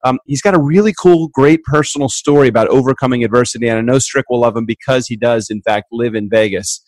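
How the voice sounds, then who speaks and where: Host masculino de podcast: voz média, fala informal, entusiasmada e cheia de recomeços, sem eco. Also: male voice, mid-range voice, fast, dry recording, poor audio. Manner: upbeat, chatty, with restarts. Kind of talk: casual podcast interviewing.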